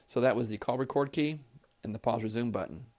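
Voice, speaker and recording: A man's voice: medium pitch, brisk, darkish tone. A man giving a step-by-step, plain-spoken instructional tutorial as a trainer demonstrating office equipment.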